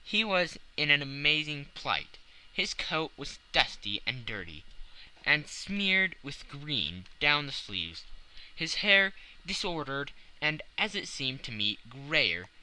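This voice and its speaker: A man reading a classic novel as a dramatic audiobook narration, with measured literary phrasing and deliberate pauses. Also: a little high, very animated, bright, forceful tone, rough recording.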